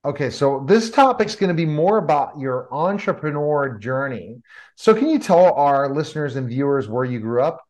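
A man co-hosting a podcast, asking questions in a friendly, halting way. He trips over his words and restarts sentences as he reacts to the stories. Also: a little high, lively intonation, darkish tone. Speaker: podcast co-host, interviewer. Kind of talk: podcast interview.

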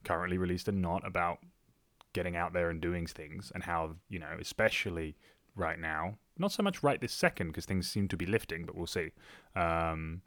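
A man with a notably deep voice talks as a podcast co-host. He is informal and rambles through his thoughts in casual conversation. The sound is dry, with little room on it. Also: moderate pace, fairly bright tone, no room sound. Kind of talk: casual chat.

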